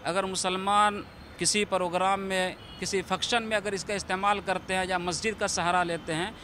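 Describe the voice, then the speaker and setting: A man's voice: high-pitched, little pitch movement, normal speed, pushed and bright, slight background noise. A man, an imam, giving interview answers in formal, diplomatic, balanced phrasing.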